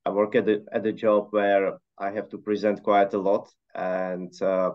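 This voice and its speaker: Low-voiced man on a dull line. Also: moderate pace. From Czech Republic. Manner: relaxed, hesitant with many fillers. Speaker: interview guest. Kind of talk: casual conversational answers.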